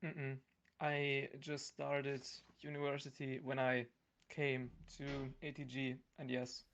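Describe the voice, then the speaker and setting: Young man, slow and very creaky. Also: medium pitch, completely flat delivery, fairly bright tone, slight background noise. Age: young adult. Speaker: podcast guest, university student. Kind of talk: casual chat.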